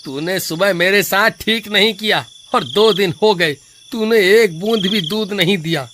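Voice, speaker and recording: A man's voice: high voice, measured pace, noisy background. A man voicing a greedy, cowardly village milkman in a melodramatic cartoon story, whiny and exclaiming. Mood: scolding.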